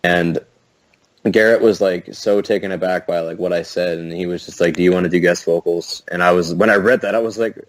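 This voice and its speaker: A young man with a very low, deep voice talks loosely on a podcast, stringing thoughts together with plenty of "like" and "you know." The sound is close and dry, with no room around it. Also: fairly even intonation, fairly quick, no room sound. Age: young adult. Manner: rambling, many fillers and restarts, laughs often. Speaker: podcast guest. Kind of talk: casual podcast chat.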